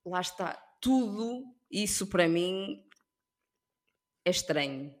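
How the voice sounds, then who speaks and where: A woman with a European Portuguese accent chatting casually with friends as a podcast co-host, slangy and animated, dropping in English words. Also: lowish voice, takes it slowly. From Portugal, with African roots. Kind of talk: casual chat among friends.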